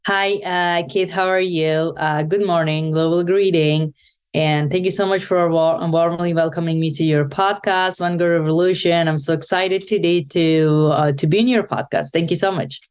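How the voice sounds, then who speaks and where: A woman from Afghanistan with an Afghan accent, giving an earnest podcast interview, halting and restarting often. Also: on the low side, fairly even intonation, clear, resonant tone. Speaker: podcast guest, nonprofit founder.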